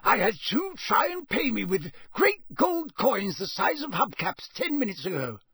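A man voicing a gruff, suspicious middle-aged campsite owner who questions people bluntly. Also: extremely high pitch, big pitch swings, unhurried, fairly bright tone, dry recording, studio quality, pitched above the performer's own voice, exaggerated voice.

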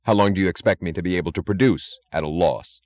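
A man voicing a calm, blunt industrialist who speaks in a cold, matter-of-fact, logical way. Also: sits low, quick pace, no echo, muffled recording, natural voice. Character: calm, blunt, defiant male steel industrialist, coldly logical.

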